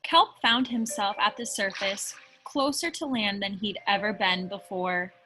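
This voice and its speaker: A woman reading a children's picture book aloud in an expressive storytelling style. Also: mid-range voice, measured pace, slight background noise. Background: music behind the voice.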